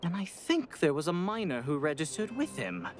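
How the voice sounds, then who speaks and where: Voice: mid-range voice, very animated, moderate pace, lightly acted. An actor playing an earnest, slightly flustered auction staff member in a video game, polite and defensive. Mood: earnest, businesslike. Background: music.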